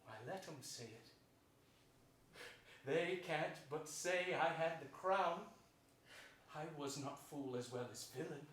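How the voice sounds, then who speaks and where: A man's voice: a little high, slow pace, pauses often, fairly bright tone. A man playing a scheming, gloating hunchbacked royal usurper in theatrical Shakespearean stage declamation. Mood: defiant. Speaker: stage actor. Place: theater stage before an audience. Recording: distant mic in echoey theater, hiss.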